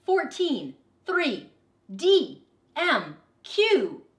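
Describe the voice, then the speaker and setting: A woman voicing a kind, gentle male schoolteacher in a children's picture book, speaking earnestly and reassuringly. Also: high-pitched, wildly swooping pitch, drawn-out words, pitched above the performer's own voice, heavily acted. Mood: playful, shouting.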